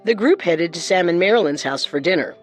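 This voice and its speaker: A woman's voice: lowish voice, expressive, brisk, soft background music. Woman narrating scripted true crime. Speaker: co-host and narrator.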